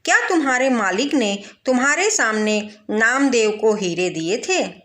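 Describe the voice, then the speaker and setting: A woman's voice: fairly high pitch, unhurried, reverberant. A woman voicing a clever royal advisor in a storybook tale, composed and commanding. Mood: calm command. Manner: calm, commanding. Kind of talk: storybook character voice.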